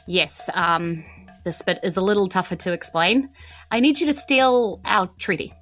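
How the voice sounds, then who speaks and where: A New Zealand woman playing an earnest, nervous but determined teenage empress in a tabletop game, speaking haltingly. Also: fairly low pitch, fairly bright tone, soft background music, close to an everyday voice. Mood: guarded, candid.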